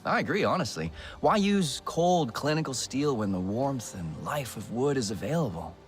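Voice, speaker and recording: Animated, chatty builder guy played by an actor. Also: mid-range voice, moderate pace, faint music behind, lightly acted. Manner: talkative, animated. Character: chatty, enthusiastic human builder, good-natured devoted husband. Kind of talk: video game dialogue acting.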